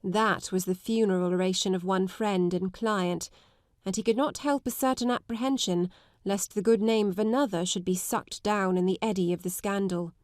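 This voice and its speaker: A woman narrates classic fiction in an articulate, measured way. Her voice sits at a medium pitch, and the recording is dry, with no room sound. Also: steady tone, darkish tone, no room sound. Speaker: audiobook narrator. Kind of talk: scripted literary narration.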